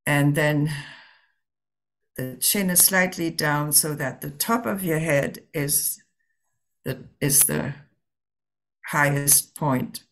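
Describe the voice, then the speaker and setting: A woman leading a guided meditation as a Zen teacher, speaking gently and permissively in halting phrases with long pauses. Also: sits low.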